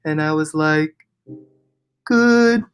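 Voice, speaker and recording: A man's voice: fairly high pitch, extremely slow, faint music behind. A young man performing comedy songs and patter in a theatrical, self-mocking way. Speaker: musical comedian. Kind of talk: comedy songs and stage patter for an online show.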